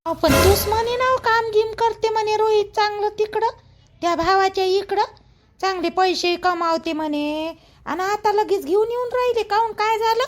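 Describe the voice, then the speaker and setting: A woman's voice: extremely high pitch, normal speed, a little hiss or hum. A woman voicing a chatty, nosy but helpful older village housewife in a cartoon, speaking colloquial Vidarbha Marathi full of exclamations. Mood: curious, questioning. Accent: Varhadi Marathi, Vidarbha. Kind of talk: rural family cartoon dialogue.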